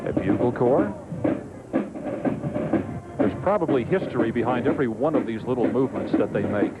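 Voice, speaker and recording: A man's voice: mid-range voice, expressive, moderate pace, phone-quality sound, over loud music. Easygoing male parade commentator. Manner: easygoing, joking banter. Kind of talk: live broadcast commentary.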